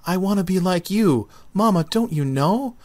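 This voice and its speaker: A man's voice: sits high, animated, moderate pace, a little mellow, voice pushed up. A man voicing an eager, dreamy little seed boy in a children's storybook, full of wide-eyed yearning. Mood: adoring. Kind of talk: children's storybook read-aloud.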